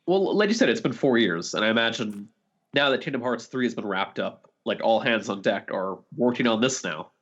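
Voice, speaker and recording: A man's voice: medium pitch, expressive, brisk, fairly bright tone. A man co-hosting a podcast, discussing video games in a casual, conversational way. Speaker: podcast co-host. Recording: slightly dull, lo-fi audio.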